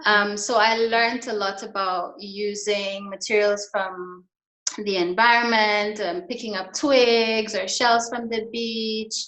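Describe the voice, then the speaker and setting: A middle-aged woman from Guyana, a fashion designer and interview guest, talking slowly and thoughtfully with many pauses and ums. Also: mid-range voice, fairly even intonation, fairly bright tone. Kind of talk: online video interview. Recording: echoey room over video call.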